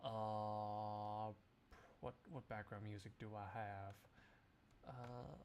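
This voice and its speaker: A man's voice: fairly low pitch, level tone, extremely slow, a little mellow, slight background noise. A man livestreaming, chatting casually to his viewers in a jokey, rambling, exclamatory way. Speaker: live streamer.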